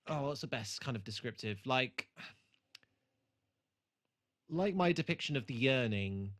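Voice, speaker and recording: A man chats as a podcast co-host in a bright, forward voice at an ordinary pitch. He rambles enthusiastically through his points and swears freely. The delivery is loose and conversational. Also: animated, a little slow. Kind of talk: casual chat, reviewing a TV show.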